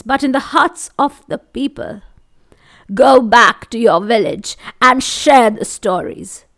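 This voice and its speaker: A woman voicing an ancient, wise grandfather stone in a storytelling tale, with an old rumbling voice and slow, solemn delivery. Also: creaky, heavily acted.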